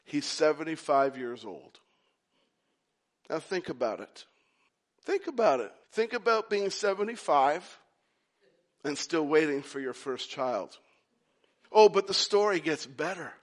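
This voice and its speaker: A man delivers a sermon in a high voice, taking his time with each phrase. He drives his points home through repetition and questions put straight to the congregation. His exhortations swing between loud insistence and quieter moments. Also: sing-song, measured pace, long pauses. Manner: emphatic, repetitive rhetorical questions. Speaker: preacher.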